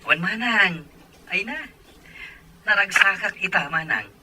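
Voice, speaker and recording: A man's voice: high voice, a little slow, heavy background noise. A man acting an eager, respectful young man happily in love in an Ilocano audio drama. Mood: happy, respectful.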